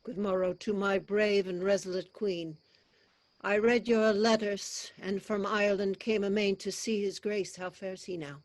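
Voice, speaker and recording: Woman as loyal courtly lord, formal old-style verse acting, mid pitch, close dry sound. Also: steady tone, a little slow, dry recording, lightly acted. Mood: courtly, concerned. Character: Lord Clifford, loyal courtly English nobleman adviser. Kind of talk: classical verse stage acting. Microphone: video call.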